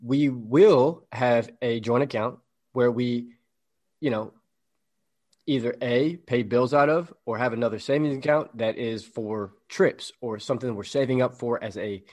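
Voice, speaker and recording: A man's voice: medium pitch, unhurried, a little mellow. A man in his late twenties, co-hosting a podcast, talking earnestly and casually, often repeating words and swearing now and then. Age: 20s. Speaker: podcast co-host. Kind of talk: casual chat.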